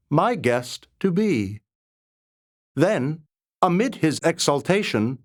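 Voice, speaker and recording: A man with a slightly high-pitched voice reads verse aloud at a very slow pace. His delivery is dramatic and reverent, each phrase set apart with deliberate pauses. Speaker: poetry reader. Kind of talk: dramatic, measured poetry recitation.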